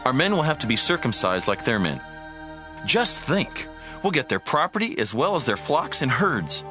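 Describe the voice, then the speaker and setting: Eager, calculating young nobleman played by a man, animated medium voice, scripture drama over music. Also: medium pitch, moderate pace, close to an everyday voice. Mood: persuasive. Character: Shechem, eager young male prince, lovestruck, scheming. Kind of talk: dramatized audio Bible acting.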